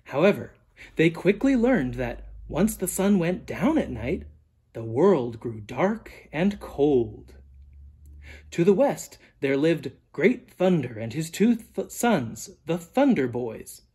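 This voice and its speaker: Husky, expressive children's storyteller. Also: mid-range voice. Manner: animated, deliberate storytelling with dramatic emphasis. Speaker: storyteller reading a children's book aloud, teacher. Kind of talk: expressive read-aloud narration for children.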